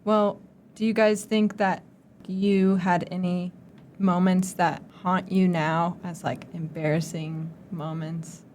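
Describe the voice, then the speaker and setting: A young woman from the United States, hosting a casual chat and telling stories in a lively way with many filler words. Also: fairly low pitch, slow pace, slight background noise. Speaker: podcast host.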